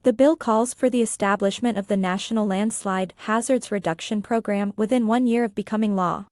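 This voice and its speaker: A woman reading a scripted passage aloud in a measured, formal manner. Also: mid-range voice, darkish tone, no echo, studio quality. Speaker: practice passage reader.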